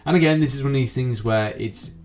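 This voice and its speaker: A man's voice: medium pitch, fast, a little mellow. A man hosting a gaming news show, giving wordy, rambling commentary in a presenter's style. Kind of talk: YouTube gaming news commentary, semi-scripted. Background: background music.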